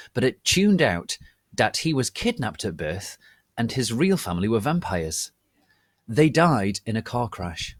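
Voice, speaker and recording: A man's voice: fairly low pitch, breathy edge, no room sound, full character voice. A man voicing a melodramatic, bratty goth teenage girl who narrates her story in a theatrical, petulant, emphatic way. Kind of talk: dramatic reading of amateur fan fiction.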